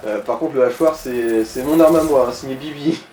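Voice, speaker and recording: Mellow man in a roomy, noisy recording. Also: mid-range voice, moderate pace, recorded from a distance. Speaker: let's play co-commentator advising the player. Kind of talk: casual gaming commentary, chat. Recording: roomy echo, clear background noise.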